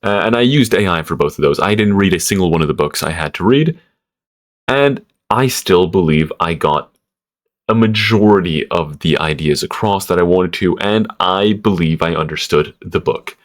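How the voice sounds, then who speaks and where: A man's voice: low voice, animated, moderate pace. A young man from Switzerland ranting casually to camera, rambling with stammered restarts, lots of fillers and swearing. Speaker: vlogger.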